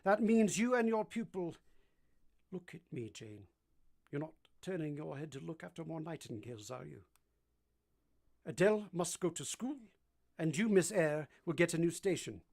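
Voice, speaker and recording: Brooding master of the house, played by an actor: commanding, teasing, ardent. Formal, theatrical delivery for a period radio romance. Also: male voice, fairly high pitch, expressive, normal speed, gravelly, darkish tone. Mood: brisk, commanding. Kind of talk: vintage radio drama acting.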